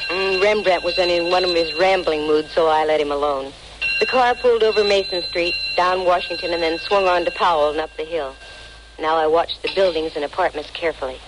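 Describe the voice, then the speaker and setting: An actress plays a female private detective with an American accent and a dry, wry tongue. She is confident and quick with a wisecrack in the manner of 1940s radio drama. The old broadcast recording carries light noise. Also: lowish voice, lightly acted. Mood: wry, narrating. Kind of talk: 1940s radio acting, first-person noir narration.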